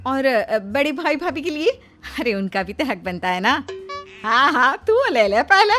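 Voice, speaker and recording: A woman's voice: high-pitched, big pitch swings, unhurried, sharp tone, soft background music, exaggerated voice. A woman voice acting flustered, nosy women of an Indian household, a mother-in-law and elder daughter-in-law, in a cartoon story. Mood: nosy, scolding.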